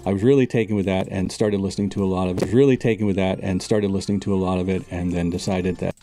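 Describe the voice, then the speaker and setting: A man being interviewed as a guest composer, speaking in a relaxed, reflective way with halting phrasing. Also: sits low. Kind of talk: interview answers, clipped and repeated in the edit. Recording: loud music under the voice.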